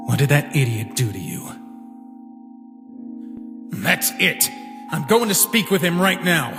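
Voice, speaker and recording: A man voicing a tender, protective, excitable young furry prince in a comic dub. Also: a little high, unhurried, creaky, full character voice. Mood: concerned, indignant. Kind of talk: dramatic comic dub voice acting. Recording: long reverb with music behind.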